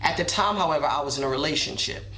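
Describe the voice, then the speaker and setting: A young man telling a personal story in a soft, reflective way, with many pauses and fillers. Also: fairly high pitch, reverberant.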